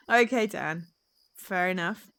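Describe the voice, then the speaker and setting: A woman's voice: medium pitch, animated, slow pace, fairly bright tone, smooth, no room sound. A woman chatting casually and exuberantly with viewers as she live-streams a game.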